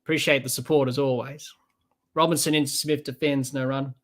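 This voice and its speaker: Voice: medium pitch, steady tone, fairly quick. A person in their twenties with an Australian accent, hosting a casual live cricket podcast, chatting loosely and reading out viewer comments between balls. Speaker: podcast host.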